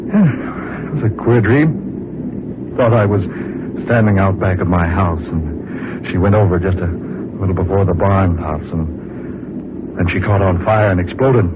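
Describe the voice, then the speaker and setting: Casting: furtive farmer in old radio thriller, slow delivery, muffled sound with loud engine drone. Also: male voice, sits low, noisy background. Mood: uneasy, evasive. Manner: halting, muttering, turning frantic. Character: nervous, furtive farmer, a fugitive fleeing by plane. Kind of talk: 1940s radio acting.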